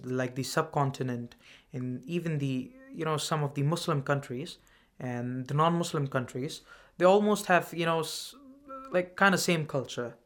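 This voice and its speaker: A man's voice: on the high side, a bit bright. Young man from India, hesitant. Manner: hesitant, many fillers and restarts. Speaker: guest, religious student. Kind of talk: casual discussion.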